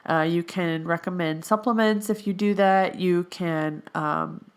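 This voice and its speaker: A woman's voice: lowish voice, measured pace, smooth. A young adult woman hosting her own podcast, whose voice sounds a bit nasal from allergies, talking casually with many fillers. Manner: chatty, with frequent fillers. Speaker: podcast host, health coach in training. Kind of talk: casual solo podcast monologue.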